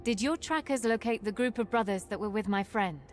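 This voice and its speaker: Casting: sardonic fantasy game heroine played by a woman, mid-pitched, dry and determined, music behind. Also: fairly quick, natural voice. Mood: businesslike. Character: wry, sceptical young female fantasy champion.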